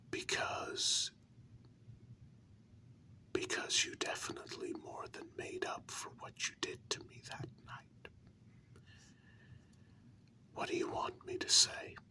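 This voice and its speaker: Someone acting out a gentle candid human confiding in a vampire lover, all breathy whisper, real slow, asmr roleplay. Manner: slow, hushed, intimate, with pauses. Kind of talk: whispered ASMR role-play.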